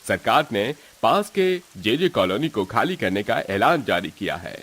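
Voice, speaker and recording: Man as an urgent announcer, animated swooping pitch. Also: measured pace, heavily acted. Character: urgent radio newsreader and rescue guard giving warnings.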